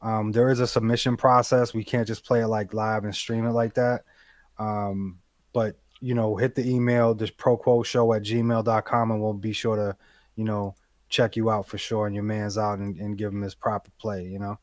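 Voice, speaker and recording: A man's voice: on the low side, flat delivery, normal speed, a little mellow, dry recording. A man hosting an online music show, talking in a friendly, easygoing way. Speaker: host. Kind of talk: online radio show chat.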